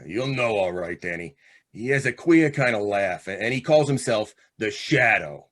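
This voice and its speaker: A hard, scheming gangster acted by a man in a radio play, with a lowish voice. He talks in tough slang and clipped commands, bitter and set on revenge. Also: animated, normal speed, fairly bright tone, a little hiss or hum. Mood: instructing, menacing. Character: hard, scheming condemned gangster, vengeful manipulative older brother. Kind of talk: old-time radio drama acting.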